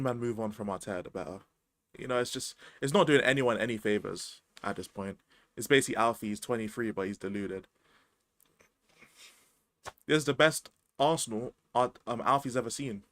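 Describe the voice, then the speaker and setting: A man's voice: mid-range voice, fairly bright tone, no echo. A man, chatting casually about football as a podcast host, slangy and rambling. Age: 20s.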